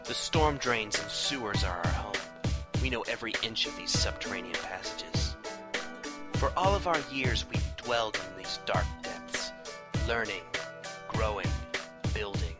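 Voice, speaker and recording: Man as tough, intense young mutant turtle fighter, animated. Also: on the low side, a little slow. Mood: brooding. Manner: hard-boiled, terse, intense. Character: tough, proud male teenage mutant turtle narrating his fights. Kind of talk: dramatic comic-book narration. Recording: loud music under the voice. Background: loud dramatic music.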